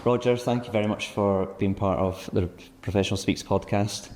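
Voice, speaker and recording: A man with a very deep voice hosts the interview, introducing his guest and asking friendly, encouraging questions. His voice is dark in tone. A long reverb trails behind his words. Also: fairly even intonation, moderate pace, a little hiss or hum. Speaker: podcast host. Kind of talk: podcast interview hosting.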